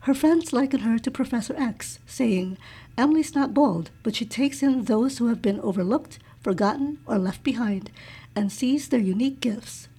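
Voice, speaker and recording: A woman hosting an interview show, reading out a guest introduction and then asking soft, hesitant questions. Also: on the high side, animated, moderate pace, breathy edge, low-quality recording. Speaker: podcast host.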